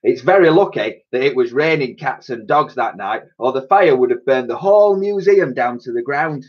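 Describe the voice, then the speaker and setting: A man's voice: medium pitch, expressive, normal speed, dull audio, pitched above the performer's own voice, lightly acted. A man voicing a blunt, touchy working-class woman in a children's storybook reading. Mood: matter-of-fact. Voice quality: brash.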